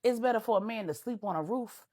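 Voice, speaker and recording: A woman's voice: fairly high pitch, fast talker, darkish tone, voice pushed up, lightly acted. A woman voicing a blunt, scolding, motherly older friend with a folksy, plain-spoken manner in a told story. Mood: exasperated, scolding. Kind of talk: audio storytelling dialogue.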